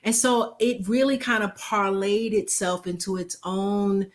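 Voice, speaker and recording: Animated, laughing woman on a video call. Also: mid-range voice, measured pace. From Brooklyn, New York, USA. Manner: animated, laughs often while talking. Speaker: guest, concert singer. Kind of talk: casual interview chat.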